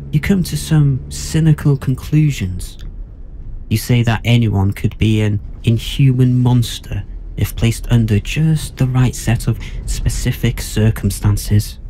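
Man playing a cold murderer, low dark voice, articulate, condescending, audio drama, slight background noise. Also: poor audio. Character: cold, menacing, condescending male murder suspect, philosophizing killer.

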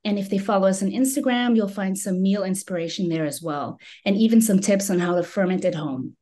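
A woman's voice: mid-range voice, fairly quick, mellow. A woman from Belgium who owns a small food business, giving a prepared presentation on a video call. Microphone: video call microphone.

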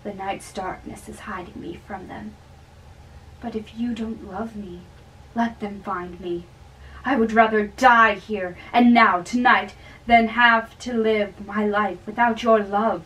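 A woman acts out an earnest young man, reckless with love, in an amateur play reading. The delivery is slow and halting. It is picked up from across a room. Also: mid-range voice, fairly even intonation, a bit bright. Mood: bold devotion. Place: small bedroom, indoors. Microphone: far camera. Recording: distant room microphone.

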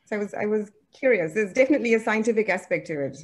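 A woman with an average-pitched voice asks questions casually on a video call. She repeats and rephrases as she goes. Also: lively intonation, racing, a little hiss or hum. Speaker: audience member asking questions.

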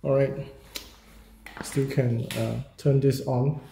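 A man, a real estate agent walking through a property and talking casually, halting and repeating himself with many fillers as he goes. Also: medium pitch, level tone, vocal fry, dark tone, a little hiss or hum. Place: walking through a house and yard, in and out of doors.

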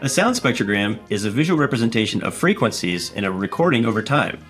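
A man's voice: fairly low pitch, big pitch swings, quick pace, fairly bright tone, husky, faint music behind. A man in his thirties hosting a scripted game show in a playful, punning manner.

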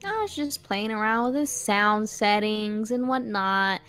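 A woman's voice: mid-range voice, lively intonation, a little slow, fairly bright tone, clean tone. A woman playing an easygoing, reassuring tinkerer in an improvised Minecraft roleplay, speaking casually. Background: background music.

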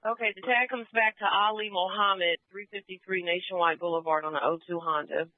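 A man's voice: high-pitched, fairly even intonation, brisk, dry recording, phone-quality sound. A man working as a police radio dispatcher, speaking in terse, clipped procedural phrases over a radio. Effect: police radio transmission. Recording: band-limited police radio audio.

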